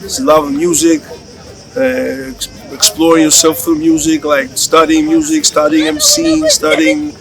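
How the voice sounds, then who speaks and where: A middle-aged man with a Greek accent speaks in a fairly high-pitched voice. He chats casually as a rapper in an interview, in loose, slangy English. Music plays clearly behind him. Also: little pitch movement, unhurried, poor audio. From Greece.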